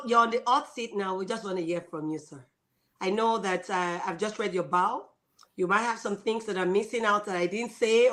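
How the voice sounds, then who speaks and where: A woman's voice: medium pitch, fairly bright tone. A woman hosting an interview, reading a guest's introduction and asking questions with enthusiasm. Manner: enthusiastic, laughs often. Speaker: host.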